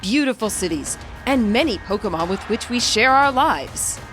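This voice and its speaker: A woman's voice: fairly high pitch, lively intonation, normal speed, over music, natural voice. A woman voicing a male business chairman giving a formal, pompous and welcoming public speech, as in a game story. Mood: grand, welcoming. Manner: formal, showy public-speaker delivery.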